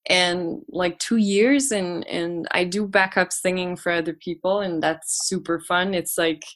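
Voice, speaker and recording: A woman's voice: on the low side, fairly even intonation, unhurried, a bit bright. Woman singer on a podcast, stops and restarts a lot, chatty. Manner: hesitant, many restarts and laughs. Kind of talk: casual conversation.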